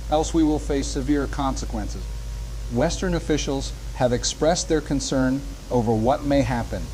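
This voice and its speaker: A man's voice: medium pitch, warm tone, close to an everyday voice, rough recording. A man playing a news anchor who reads a scripted bulletin on a war crisis. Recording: clear background noise.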